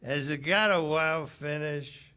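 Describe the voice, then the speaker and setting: An actor playing a bitter, heartbroken American cafe owner in 1940s radio drama style, sardonic, slangy and clipped. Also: male voice, on the high side, slow pace, pushed and bright. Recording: live stage radio play with music.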